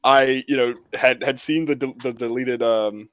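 A man's voice: medium pitch, steady tone, moderate pace, a bit bright, no room sound. A man speaking in a rambling, jokey, informal way as a podcast host chatting with friends. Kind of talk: casual group review chat.

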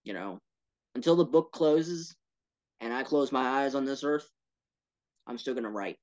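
A man talking as a podcast guest about his work, earnest and animated, with playful asides. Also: medium pitch, normal speed, highs cut off. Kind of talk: casual interview talk.

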